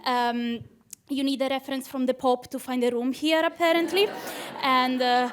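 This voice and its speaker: High-voiced Italian woman doing stand-up. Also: fairly even intonation, normal speed, slight background noise. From Italy. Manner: nervous, chatty, laughs at her own jokes. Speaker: PhD student doing stand-up. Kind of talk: stand-up comedy set. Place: stage in a club.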